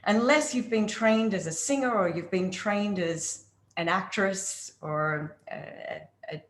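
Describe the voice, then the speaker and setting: A woman voice coach explaining her methods in a casual interview, talking expressively and demonstrating high and low pitch. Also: slow, roomy sound. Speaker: voice coach guest.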